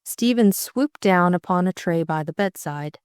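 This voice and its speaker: A woman's voice: on the low side, animated, measured pace, darkish tone, clear, resonant tone, no echo, studio quality. A woman reading scripted story narration as an audiobook narrator.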